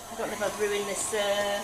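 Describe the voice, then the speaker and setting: A woman with a Manchester accent demonstrating a recipe live in a kitchen, talking through each step as she works. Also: mid-range voice. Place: home-style kitchen. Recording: echoey kitchen with background hum.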